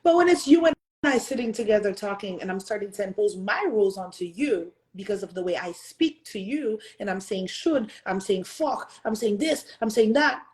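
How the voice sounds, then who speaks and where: A woman's voice: medium pitch, animated, normal speed, few pauses, a little mellow, poor audio. A woman in her thirties hosting a motivational talk, speaking earnestly and pressing listeners with rhetorical questions, sometimes slipping into French and Haitian Creole. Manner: earnest, emphatic, asks the audience rhetorical questions. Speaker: host.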